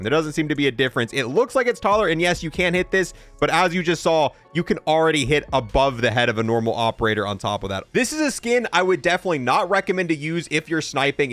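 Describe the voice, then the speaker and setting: A man's voice: fairly high pitch, a bit bright, no echo. A man giving casual, opinionated gameplay review commentary in an informal, reactive way. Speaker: gaming content creator, host.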